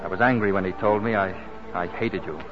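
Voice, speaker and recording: An actor plays an earnest, insistent romantic suitor in a 1940s radio play. His low voice moves at a brisk pace. The recording is dull, with clear music behind it. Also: natural voice. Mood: tender, earnest. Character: Paul, smooth-talking, possessive romantic suitor. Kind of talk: 1940s radio drama acting.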